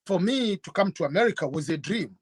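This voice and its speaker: A middle-aged man from Tanzania, a guest telling his story in an interview in an earnest, measured way. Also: high voice, expressive, husky, dry recording. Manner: earnest, measured, with fillers and restarts. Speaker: guest YouTuber.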